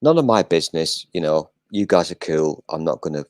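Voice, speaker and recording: An older man hosting a casual live chat, talking in a flat, self-deprecating way and swearing now and then. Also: sits low, brisk, dry recording, clean studio sound.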